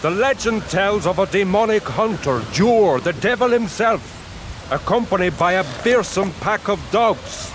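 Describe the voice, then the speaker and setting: An actor playing a grim, knowing huntsman and tracker in a period audio drama, guarded, measured and ominous. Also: sits high, lively intonation, background noise.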